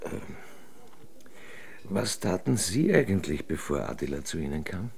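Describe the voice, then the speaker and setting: An actor playing a dry, pedantic male investigator in a radio play, speaking in a deliberate way. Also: low-pitched, very animated, vocal fry, a little mellow, heavily acted. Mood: probing, dry. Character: methodical male investigator, dryly pedantic, fond of food and wine. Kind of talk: German radio play dialogue.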